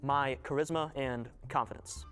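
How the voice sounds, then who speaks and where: A man's voice: mid-range voice, steady tone, moderate pace, fairly bright tone, smooth, faint music behind. A young man on a dating show introducing himself in a hesitant, slightly formal but chatty way. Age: young adult. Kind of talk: casual self-introduction and chat.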